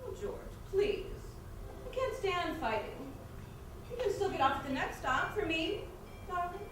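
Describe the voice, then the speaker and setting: A woman's voice: extremely high pitch, expressive, moderate pace. A woman playing a theatrical, imperious, self-pitying film star in stage comedy style, dramatically repeating her words. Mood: gushing, cajoling. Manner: melodramatic, repeats words for effect. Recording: very echoey stage, distant mic, noisy.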